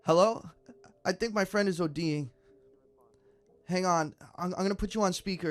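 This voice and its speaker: A man's voice: sits high, moderate pace, lightly acted. A young man acting in a naturalistic radio drama as a worried, exasperated friend, urgent and earnest. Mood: panicked.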